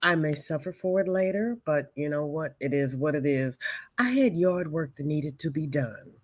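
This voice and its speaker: A woman's voice: low-pitched, animated, moderate pace, darkish tone. A woman hosting a crochet livestream, chatting casually and greeting viewers by name as their comments come in. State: voice may be weak, hoping it holds up. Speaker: crochet channel host greeting livestream chat.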